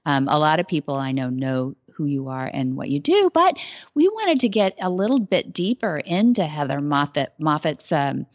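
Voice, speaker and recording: A woman hosts an interview show in a fairly low voice, chatty and loose. The sound is dry and close with a dull, lo-fi edge. She talks easily between guest introductions. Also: big pitch swings, normal speed, dry recording. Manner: chatty, with fillers and restarts. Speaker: radio show host. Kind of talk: casual interview. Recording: dull lo-fi audio.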